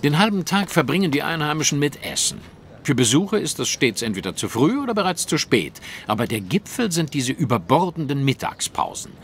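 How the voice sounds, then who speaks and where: A man's voice: on the high side, sing-song, a little fast, fairly bright tone, a little hiss or hum. An actor playing a shrewd, haughty male detective in an audio drama, speaking in a precise, formal, lecturing way. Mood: indignant. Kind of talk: German audio drama acting.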